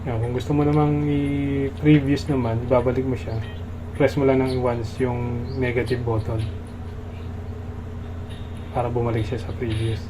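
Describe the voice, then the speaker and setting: A man's voice: medium pitch, flat delivery, measured pace, pauses often, noisy background. A man with a Filipino accent demonstrates a product step by step in a casual, instructional way.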